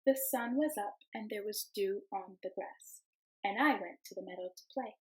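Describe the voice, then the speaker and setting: A young woman teacher reading a picture book aloud to her class in a gentle storytelling voice, calling the animals in a sing-song tone. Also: mid-range voice, moderate pace, rough recording. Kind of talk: children's read-aloud.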